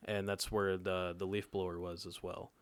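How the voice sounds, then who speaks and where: A man's voice: deep voice, flat delivery, moderate pace, smooth, no echo. A nineteen-year-old man telling a personal story in casual podcast chat, laid-back and often checking in with his listeners. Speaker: podcast co-host.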